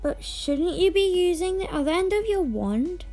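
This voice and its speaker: A female reader voicing a polite, wistful, put-upon teenage girl in a storybook, gentle and plaintive. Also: very high-pitched, animated, normal speed, faint music behind, pitched above the performer's own voice. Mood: puzzled. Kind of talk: storybook character voice.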